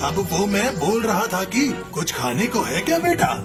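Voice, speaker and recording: Kindly old wandering holy man played by an actor, cartoon fable, lively swinging intonation, loud music underneath. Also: mid-range voice, normal speed. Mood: gentle, kindly. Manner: gentle, addresses others as children. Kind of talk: cartoon moral story acting. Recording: loud background music throughout.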